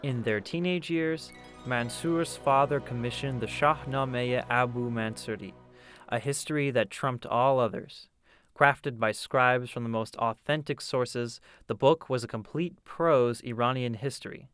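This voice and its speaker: Male documentary presenter-narrator, mid-pitched voice, scripted and measured storytelling delivery. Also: fairly bright tone, clear, resonant tone, faint music behind.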